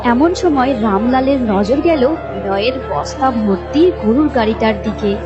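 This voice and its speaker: High-voiced woman storyteller over music. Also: lively intonation, measured pace. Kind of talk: scripted narration of a moral folk tale. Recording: dull lo-fi sound with music behind. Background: clear background music.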